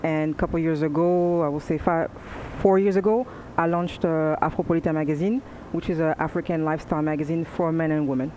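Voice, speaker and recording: A woman from France speaking on a panel in a measured, hesitant way with frequent pauses. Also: sits low, fairly even intonation, mellow, slight background noise, poor audio. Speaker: panel guest. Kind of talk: casual panel chat.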